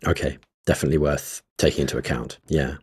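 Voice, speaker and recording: A man with a very low, husky voice chats casually as a podcast co-host. He thinks aloud, pausing and restarting with plenty of ums and you-knows. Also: fairly even intonation, darkish tone. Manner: hesitant, filler-heavy, thoughtful rambling.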